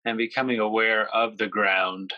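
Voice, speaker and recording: Even-toned man guiding a meditation. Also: fairly low pitch, no echo, highs cut off. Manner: slow, gentle, pausing between phrases. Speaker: meditation guide. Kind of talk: guided yoga nidra meditation.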